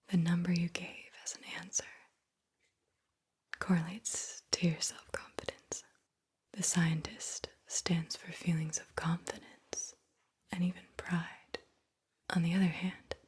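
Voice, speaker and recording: A woman whispering slowly and soothingly as an ASMR host, guiding the listener deliberately and repeating words. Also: on the low side, husky. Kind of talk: whispered ASMR guided visualization.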